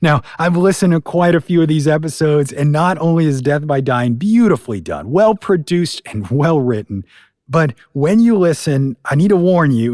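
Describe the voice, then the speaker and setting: A man's voice: fairly high pitch, darkish tone, no echo, studio quality. A man hosting a podcast, introducing a show in an informal, folksy, conversational way. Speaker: podcast host.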